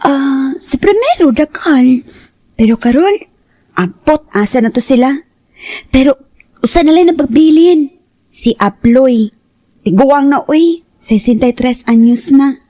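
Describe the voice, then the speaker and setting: A woman's voice: high-pitched, animated, measured pace, long pauses, dark tone, no room sound. A woman playing a naive, hesitant married mother in a radio drama, with a Central Visayan Filipino accent. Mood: hesitant, coaxing. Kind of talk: Cebuano radio drama acting.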